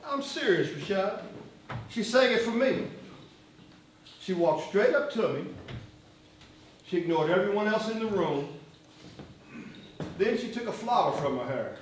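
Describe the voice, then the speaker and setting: A stage actor playing an earnest, weary male civil rights leader with a measured, reflective delivery, recorded in an echoey hall. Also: high voice, sing-song. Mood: teasing, nostalgic. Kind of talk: live stage play acting. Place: indoor stage performance, distant microphone. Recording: echoey hall from far off, noisy.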